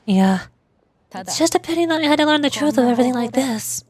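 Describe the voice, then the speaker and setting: A woman's voice: sits high, lively intonation, fast, darkish tone. A woman streamer voicing game dialogue live and adding casual commentary. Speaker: streamer and amateur voice actor. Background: faint game music.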